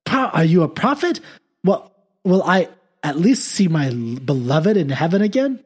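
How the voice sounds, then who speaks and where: A man voicing a grief-stricken lonely man who pleads and rages in a theatrical, husky voice. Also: very animated, normal speed. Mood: anguished pleading. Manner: pleading, theatrical, raises pitch and volume.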